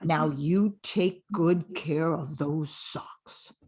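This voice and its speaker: An older woman playing an earnest, calming investigator in a comic radio play, deliberate and mock-serious. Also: low-pitched, husky, exaggerated voice. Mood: solemn. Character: earnest, calming investigator solving a trivial household case.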